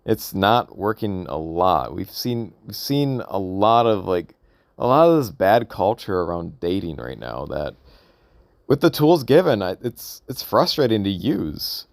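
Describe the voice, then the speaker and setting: A man's voice: low-pitched, very animated, gravelly, no room sound, studio quality. A man thinking aloud in a casual, unscripted vlog monologue, hesitant and rambling as he works through his thoughts. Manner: hesitant, halting, rambling with repeated questions. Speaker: vlogger recording an audio log.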